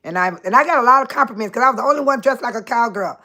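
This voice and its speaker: A woman in her sixties hosting a casual livestream, chatting warmly with viewers by name and laughing often. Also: fairly high pitch, expressive, very fast. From Chicago area. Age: 60s. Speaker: livestream host. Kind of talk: casual livestream chat reading viewer comments.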